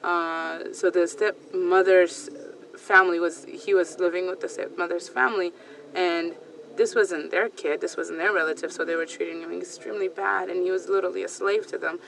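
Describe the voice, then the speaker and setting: A woman's voice: on the low side, steady tone, normal speed, vocal fry, a bit bright, far from the mic, slight background noise, rough recording. A woman in her thirties from Ethiopia, telling a personal story hesitantly, with many fillers and restarts. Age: 30s. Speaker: storyteller sharing a personal account. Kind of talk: personal testimony. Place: small recording booth.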